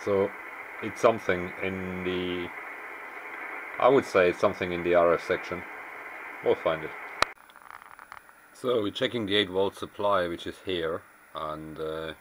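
A man's voice: deep voice, unhurried, long pauses. A man talking through a hands-on technical repair, matter-of-fact and thinking aloud, on a camera mic at a workbench. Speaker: amateur radio repairer presenting. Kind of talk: unscripted hands-on technical walkthrough. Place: small indoor workshop at an electronics bench. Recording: noisy camera mic in workshop.

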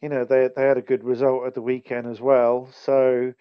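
A man appearing as a guest on a football podcast, speaking in a measured way with many "um"s. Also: mid-range voice, level tone, clean tone, dry recording, dull audio. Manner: measured, level, filled with "um"s. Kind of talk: casual football podcast chat.

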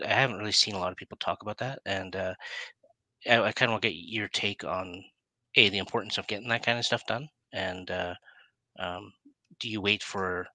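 A man's voice: low-pitched, bright, forceful tone, dry recording. A middle-aged man hosting a casual interview over a headset, asking questions in a rambling, informal way. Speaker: interview show host. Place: plain indoor room, video call.

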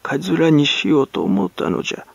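Storybook crone: raised pitch, gravelly croak, halting mumble, self-justifying, archaic rustic turns of phrase. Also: male voice, very animated, no room sound, highs cut off, full character voice. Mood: croaking, gasping. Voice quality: crow-like croak, gasping. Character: croaking, muttering, self-justifying old hag in rags.

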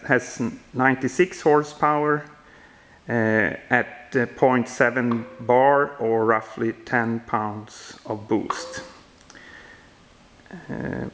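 Man, thirties, Swedish accent, non-native speaker, slow delivery, halting phrasing, informal explainer. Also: medium pitch, fairly bright tone. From Sweden. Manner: halting, searching for words. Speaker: home builder presenting his project. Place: indoor workshop garage.

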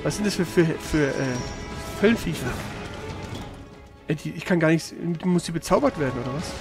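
A man with a notably high voice and a clear layer of vocal fry comments casually on a video game. His wording is colloquial and slangy, with mild swearing. Music plays behind him. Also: animated, brisk. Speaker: let's play streamer. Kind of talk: casual gameplay commentary and chat.

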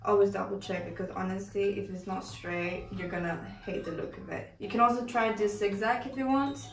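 A woman's voice: medium pitch, steady tone, brisk. A young woman explains a toddler hairstyle in a chatty, instructive way, often correcting herself mid-sentence. She is recorded from a distant camera in her living room. The audio sounds roomy, with music playing underneath. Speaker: mother doing her toddler's hair. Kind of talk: casual hair tutorial. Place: living room with Christmas decor. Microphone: far camera.

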